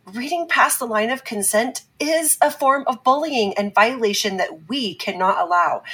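A woman playing an earnest, firm survivor advocate on a talk show in a fiction podcast, speaking in a measured, deliberate way. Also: fairly high pitch, a bit bright, breathy edge, heavily acted, low-quality recording. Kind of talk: scripted fiction podcast acting.

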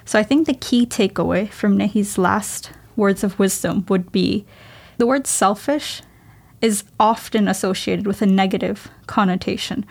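A woman's voice: medium pitch, moderate pace, vocal fry. A young woman hosting a university podcast, friendly and upbeat as she interviews guests. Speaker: podcast host.

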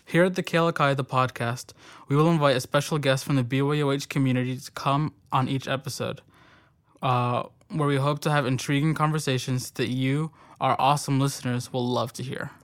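A young man in his twenties, co-hosting a college podcast in a relaxed, friendly way with casual fillers. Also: medium pitch, brisk, smooth. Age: young adult. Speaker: podcast co-host.

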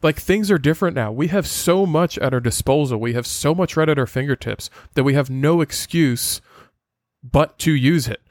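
Earnest podcast host, dry close sound. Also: male voice, a little high, moderate pace, no room sound. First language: Portuguese. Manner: earnest, lecturing with frequent filler sounds.

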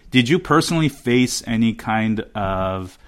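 A man's voice: medium pitch, expressive, measured pace, clean tone. A man hosting an interview podcast, asking questions hesitantly with fillers. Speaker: podcast host.

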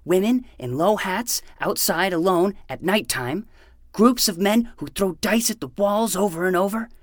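A woman's voice: medium pitch, expressive, a little slow, no room sound, studio quality. A woman playing a self-important 12-year-old boy who acts like a hardboiled private eye in a fiction podcast. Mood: brooding, dramatic. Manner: melodramatic, self-important noir narration.

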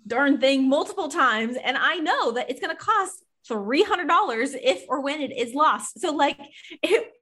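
Casting: middle-aged woman, slightly high voice, earnest and emphatic, for casual faith conversation as a podcast guest. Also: lively intonation, unhurried, bright, forceful tone. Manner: earnest, emphatic, informal phrasing. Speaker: podcast guest, Bible study author.

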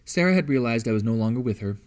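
A man's voice: mid-range voice, animated, quick pace, darkish tone. A man reading a story aloud as scripted narration. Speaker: audiobook reader.

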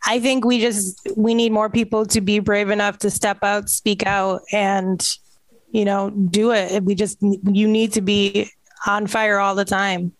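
A woman's voice: mid-range voice, flat delivery, unhurried, dry recording, rough recording. Outspoken woman, dry close sound. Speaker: interview guest. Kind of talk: conversational interview, outspoken.

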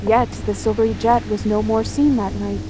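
A woman's voice: mid-range voice, fairly even intonation, normal speed, background noise, close to an everyday voice. A woman playing a solemn, haunted sailor who narrates a whaling voyage in a grave, incantatory audio drama style. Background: music and soundscape.

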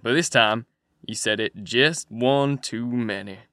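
A man's voice: medium pitch, lively intonation, a little slow, fairly bright tone, no room sound, studio quality. A man voicing an angry, violent male robber who threatens a bound captive. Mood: menacing.

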